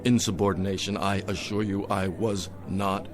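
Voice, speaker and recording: An actor playing a drunken, down-on-his-luck store Santa in a radio drama, sad and gentle, rambling with slurred courtesy. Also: sits low, steady tone, normal speed, crowd noise, lightly acted. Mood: wounded, earnest.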